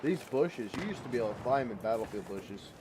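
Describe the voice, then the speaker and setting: A man's voice: mid-range voice, expressive, a little fast, background noise. A man with a head cold chatting casually while streaming a shooter game, rambling in a folksy way and repeating his words. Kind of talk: casual gaming livestream chat. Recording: loud game gunfire behind voice. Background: gunfire and vehicles from the game.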